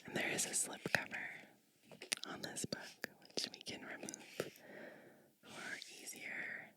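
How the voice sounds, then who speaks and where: A woman whispering in a hushed, unhurried way as she hosts an ASMR storybook reading, pausing between short phrases.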